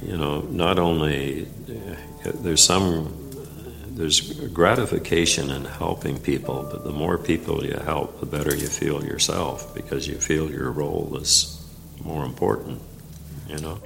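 A very deep, gravelly older man from Nova Scotia recalls his years in office at a slow, unhurried pace. He talks in a halting way, full of fillers and restarts. Music plays beneath his voice. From Nova Scotia, Canada. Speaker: former provincial legislator. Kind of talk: interview storytelling.

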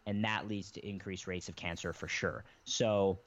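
A man's voice: deep voice, fairly even intonation, normal speed. A man explaining things in a rambling, casual way as a podcast guest, often correcting himself mid-sentence. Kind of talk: casual conversation. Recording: slightly dull lo-fi sound.

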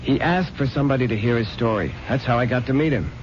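An actor playing an eager, defensive newspaper reporter in a 1970s radio drama. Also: male voice, fast talker, close to an everyday voice. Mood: defensive, earnest. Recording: muffled old radio recording, faint rumble.

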